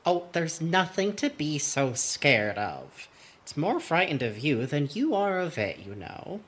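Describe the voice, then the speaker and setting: A man's voice: a little high, sing-song, a little slow, fairly bright tone, husky, exaggerated voice. A man voicing a fussy, dry-witted puppet gardener and bug expert in a cartoon, with prim, precise, sardonic wording. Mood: dryly amused. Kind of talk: cartoon character voice acting.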